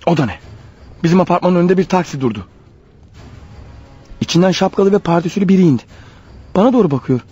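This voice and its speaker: An actor playing a paranoid, desperate killer on the run in a radio drama, thinking aloud in an agitated, urgent manner. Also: male voice, sits high, lively intonation, fast talker, pauses often, slightly rough, highs cut off. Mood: anxious, watchful. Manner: agitated, urgent, talking his thoughts out loud. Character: desperate, paranoid fugitive killer, a married man. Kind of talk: radio drama acting with spoken-aloud thoughts.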